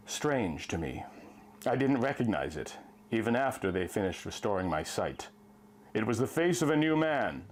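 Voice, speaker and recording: A man's voice: on the high side, voice pushed up. A man playing a traumatized, haunted survivor of a savage attack, telling his tale in an intense, theatrical pulp horror style. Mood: haunted, subdued. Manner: intense, theatrical, lurid storytelling. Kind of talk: dramatic first-person pulp horror story reading.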